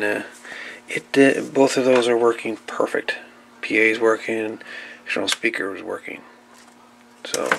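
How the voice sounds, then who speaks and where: Gravelly-voiced man narrating hands-on repair. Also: fairly low pitch. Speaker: hobbyist host of radio repair videos. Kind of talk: casual unscripted narration of a repair. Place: indoor workbench. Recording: noisy home-camera audio with clicks.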